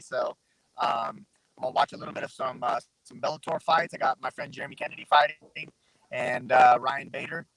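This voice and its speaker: A man's voice: medium pitch, gravelly, fairly bright tone, dry recording, low-quality recording. A man from Southern Arizona, a guest fighter, chatting casually and rambling with lots of fillers over a remote mic that pops. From Southern Arizona, USA. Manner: laid-back, rambling, full of fillers. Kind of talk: casual interview chat. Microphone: remote call mic with popping.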